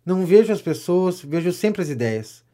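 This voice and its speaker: An actor playing a vain, self-important gentleman pamphleteer in a Brazilian audio drama comedy, declaiming pompously and flattering as he goes. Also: high voice, normal speed. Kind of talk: audio drama of a 19th-century stage comedy.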